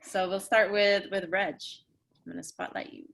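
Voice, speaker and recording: A woman's voice: mid-range voice, steady tone, normal speed, vocal fry, fairly bright tone, slight background noise. A woman hosting a playwrights' talk-back over a video call, speaking in a casual style.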